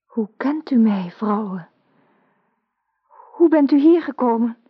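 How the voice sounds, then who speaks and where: A dull radio play recording of a young noblewoman in love. She speaks slowly in a high-leaning, breathy hush, tender and aching. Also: animated, exaggerated voice. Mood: sad, timid. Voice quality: breathy, hushed. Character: lovesick young noblewoman, sad, tender, yearning. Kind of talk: radio drama acting.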